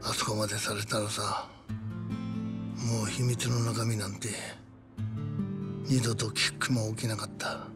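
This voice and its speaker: A man's voice: on the low side, flat delivery, a little slow, long pauses, loud music behind the voice, lightly acted. An actor playing a weary, self-mocking middle-aged gangster in a game drama, casual and rueful in his delivery. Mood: rueful, bitter. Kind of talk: cinematic game drama acting.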